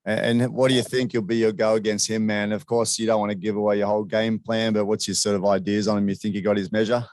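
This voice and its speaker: A man's voice: lowish voice, level tone, fast, darkish tone. A man hosting a casual sports interview in a chummy, informal way. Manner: chummy, informal, often addressing guest as "man". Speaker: interviewer, show host.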